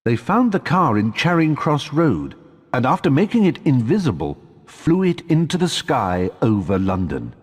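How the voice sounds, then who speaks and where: A man's voice: medium pitch, sing-song, moderate pace, husky. A man reading scripted storybook narration in a recording with long reverb.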